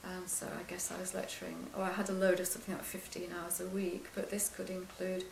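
An older woman with a quiet voice, recalling her career as a lecturer in an interview, soft-spoken and hesitant with many restarts. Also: lowish voice, completely flat delivery, fast, vocal fry, reverberant, a little hiss or hum. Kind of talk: oral history interview.

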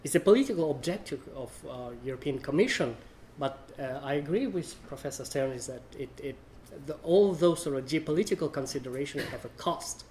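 A man giving a halting academic panel talk, often pausing to search for words. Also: on the high side, lively intonation, a little mellow, a little hiss or hum. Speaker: panel speaker.

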